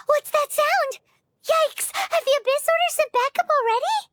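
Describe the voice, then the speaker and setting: A woman voicing a tiny, excitable, childlike fairy companion in a video game, with a squeaky voice and exclaiming delivery. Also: a little slow, background noise. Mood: alarmed. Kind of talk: animated video game voice acting.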